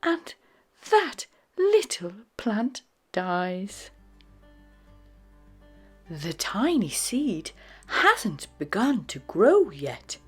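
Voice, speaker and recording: A woman narrating a children's storybook in a dramatic, expressive read-aloud style. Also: medium pitch, drawn-out words, long pauses, husky, soft background music. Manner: dramatic, with hushed phrases and sudden exclamations.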